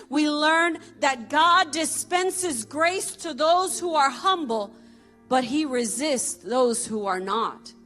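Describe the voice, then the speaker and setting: A woman reading a scripted devotional article in a measured, deliberate way with pauses between phrases. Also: high-pitched, a bit bright.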